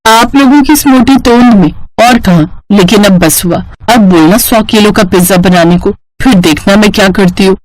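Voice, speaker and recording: A woman's voice: mid-range voice, moderate pace, hardly any pauses, darkish tone, no room sound, close to an everyday voice, poor audio. A woman voicing an exasperated young newlywed bride in a cartoon, whining and incredulous. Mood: fed up.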